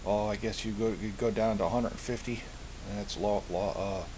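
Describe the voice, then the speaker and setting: A man giving a casual show-and-tell of his gear, speaking haltingly and often repeating himself as he searches for words. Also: on the low side, fairly even intonation, a little mellow. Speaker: hobbyist presenter. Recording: hissy amateur home recording.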